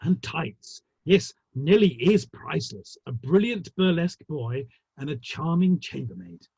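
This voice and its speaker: A man in a play reading, playing a genial, teasing Victorian theatre manager with a husky voice. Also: on the high side, expressive, measured pace, a little mellow, no room sound, muffled recording, full character voice. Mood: genial, playful.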